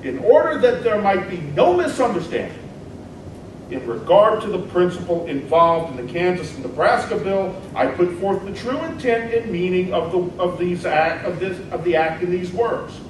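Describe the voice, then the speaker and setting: A man playing a fiery 1850s American senator in a dramatic reading of a political stump speech, with emphatic, deliberate oratory. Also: animated. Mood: insistent, emphatic. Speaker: reader in a dramatic debate reenactment. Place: large echoing indoor event room. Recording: echoey hall, distant mic, noisy rumble.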